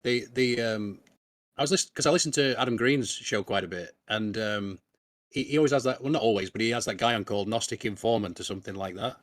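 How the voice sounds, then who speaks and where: A man's voice: fairly low pitch, fast talker, no echo, clean studio sound. A man in a casual discussion, tentative and asking questions.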